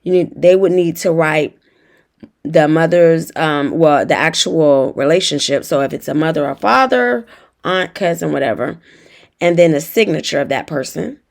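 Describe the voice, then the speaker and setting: A young woman with a hoarse, raspy voice from feeling unwell, walking viewers through a form in a chatty tutorial. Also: animated, moderate pace. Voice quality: raspy, deeper than usual. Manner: chatty, talks straight to viewers. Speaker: notary tutorial host. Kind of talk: casual tutorial walkthrough.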